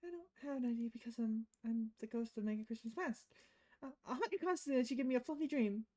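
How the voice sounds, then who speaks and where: A high, lively voice for a nervous teenage girl in a visual novel, put on by a woman. She stammers and pleads, trying to sound rebellious while staying hesitant. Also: fast, natural voice. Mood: nervous, insistent.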